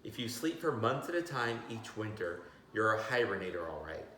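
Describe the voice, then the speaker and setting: A man's voice: lowish voice, big pitch swings, normal speed, pushed and bright, a little hiss or hum. A middle-aged man hosting a casual children's read-aloud, chatting to his young listeners with asides. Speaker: read-aloud host, teacher. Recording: very echoey, roomy sound.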